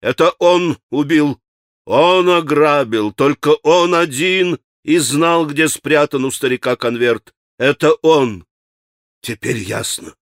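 A man's voice: a little high, animated, measured pace, no room sound, clean studio sound. A man voicing a frantic, hot-tempered accused man who protests his innocence in an agitated, insistent, breathless way, as in a dramatic audiobook. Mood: frantic accusing. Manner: agitated, shouting, rambling, repeating himself. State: gasping for breath. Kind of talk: dramatic audiobook character acting.

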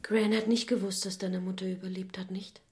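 A medium-pitched young woman's voice from an actress, a searching daughter in a radio play. Her delivery is probing and reproachful as she questions her mother. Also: flat delivery, a little fast, darkish tone, natural voice. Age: young adult. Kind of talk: dramatic radio play dialogue.

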